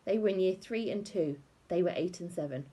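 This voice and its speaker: A woman narrating a children's book aloud in an expressive scripted storytelling style. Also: fairly low pitch, a little fast, husky. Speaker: audiobook reader.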